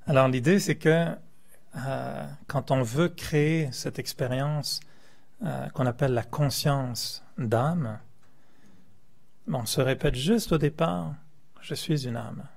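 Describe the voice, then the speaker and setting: A man's voice: mid-range voice, takes it slowly, creaky. A man from France, a meditation teacher, gives a spiritual talk to a class in a playful, joking way and chuckles often.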